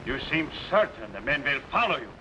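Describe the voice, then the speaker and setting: An actor playing a loyal but doubtful lieutenant in a 1950s TV serial, speaking cautiously and deferentially. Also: male voice, mid-range voice, very animated, fairly quick, background noise, natural voice. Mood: wary. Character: wary loyal adult male lieutenant to a warlord.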